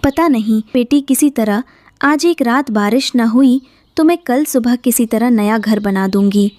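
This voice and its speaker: A woman's voice: fairly high pitch, a little slow, warm tone, no echo, clean studio sound, lightly acted. A woman voicing a kind, forgiving mother bird in a Hindi cartoon. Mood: reassuring.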